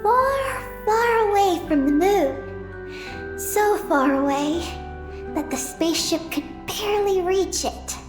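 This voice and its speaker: A woman playing an earnest, determined young girl on a mission in a video game, speaking haltingly and solemnly. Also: extremely high pitch, expressive, roomy sound, over music, heavily acted.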